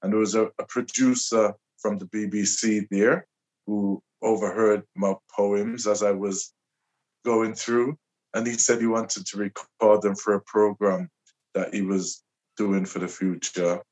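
Low-voiced man, reflective. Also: level tone, a little mellow. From Liverpool, England. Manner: reflective, measured, with pauses and restarts. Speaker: guest poet. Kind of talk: conversational interview.

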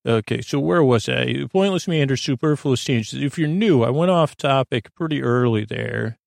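A middle-aged man speaks in a gravelly, creaking voice of ordinary male pitch, recorded very dry. He delivers a meandering bedtime monologue as a podcast host. His sentences stall, restart and loop back on themselves. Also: no echo. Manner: halting, circling, self-interrupting delivery with false starts.

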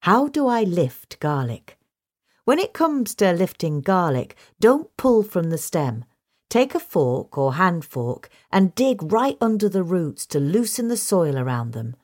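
A woman reading a scripted question-and-answer guide in a measured, instructional manner. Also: fairly low pitch, sing-song, darkish tone. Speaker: reader of a magazine article.

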